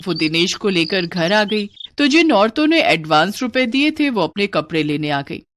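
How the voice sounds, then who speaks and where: A woman's voice: medium pitch, big pitch swings, moderate pace, fairly bright tone, no room sound. Woman narrating a moral bedtime story.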